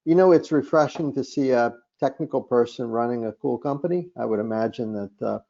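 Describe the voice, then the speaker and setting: A man's voice: mid-range voice, a little fast, creaky, mellow. An older man hosting an interview, asking questions and paying compliments. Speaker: interview host. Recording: muffled lo-fi audio.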